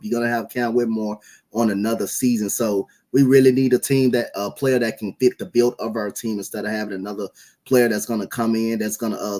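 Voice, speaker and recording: A man's voice: mid-range voice, darkish tone. A man hosting a casual basketball podcast, laid-back and rambling through his opinions. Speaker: podcast host. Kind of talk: casual sports podcast chat.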